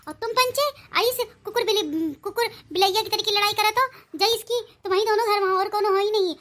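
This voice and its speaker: A woman voicing sharp-tongued, combative village women in a comic cartoon, with an Awadhi Hindi accent. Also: very high-pitched, fairly quick. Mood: scolding. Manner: scolding, combative. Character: sharp-tongued village wife and her scolding mother-in-law, cartoon.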